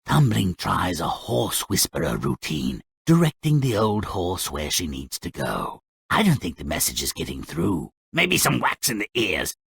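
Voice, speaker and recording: Grumpy morbid little dwarf storyteller from a game, gravelly and creaky, voice leaping all over, sardonic and mock-dramatic. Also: male voice, sits low, big pitch swings, a bit bright, dry recording, exaggerated voice. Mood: wry, mocking. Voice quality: raspy, gravelly. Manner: sardonic, pompous wordy, mock-dramatic swoops and drawn-out endings. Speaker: narrator character. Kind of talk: theatrical scripted game narration.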